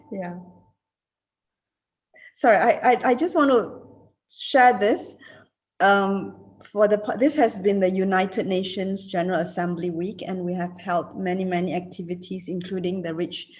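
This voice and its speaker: Woman in her fifties from Singapore, slow and halting, video call keynote with many fillers. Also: mid-range voice, a little mellow. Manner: hesitant, many fillers and restarts. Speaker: keynote speaker, alumna. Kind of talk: reunion speech and Q&A answers.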